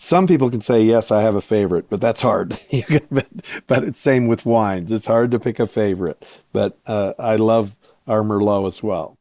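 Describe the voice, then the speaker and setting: A man speaking as a winery owner being interviewed, telling stories in a measured, explanatory way with pauses. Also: fairly low pitch, a little mellow, no echo, clean studio sound. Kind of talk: conversational storytelling interview.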